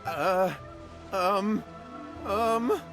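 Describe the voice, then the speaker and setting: An actor playing a nervous, evasive young man in a video game, with an anxious, stammering and excitable manner. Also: high-pitched, drawn-out words, music in the background, exaggerated voice. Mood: flustered.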